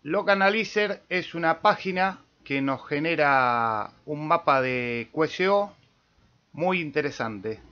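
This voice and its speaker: A man with an Argentine accent giving a casual step-by-step software tutorial as host, with small check-in tags. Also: a little high, animated, slow pace. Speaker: amateur radio tutorial host. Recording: dull lo-fi voice-over recording.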